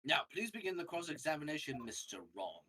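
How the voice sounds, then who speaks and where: A man's voice: on the high side, big pitch swings, fast talker, pushed and bright, a little hiss or hum. A man bantering playfully with friends, with theatrical, mock-formal quips. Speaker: co-streamer in a fan dub. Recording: music behind the voice.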